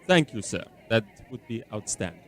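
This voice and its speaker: An actor playing a mysterious, well-traveled gentleman in a radio drama, with a seasoned voice, formal courteous phrasing and a hard-to-place foreign-tinged accent. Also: lowish voice, sing-song. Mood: courteous, reserved. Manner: formal, polite, measured.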